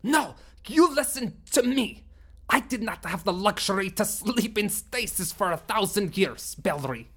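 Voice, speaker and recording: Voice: medium pitch, slow, a bit bright, slightly rough. A narrator voicing an aged male alien warlord with a scratchy, whispery voice, snarling and commanding in an audiobook. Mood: furious. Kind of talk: audiobook character voice.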